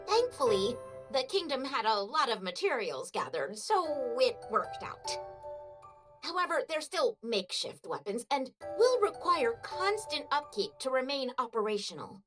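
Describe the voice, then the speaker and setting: A woman voicing a reserved, practical young girl engineer in a video game, measured and a little hesitant. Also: high-pitched, big pitch swings. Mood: cautiously skeptical. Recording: music behind the voice.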